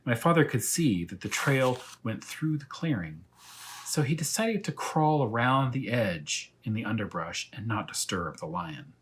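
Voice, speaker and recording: A man reading a children's story aloud as a teacher of young pupils, in animated narration. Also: medium pitch, a little slow, a little hiss or hum.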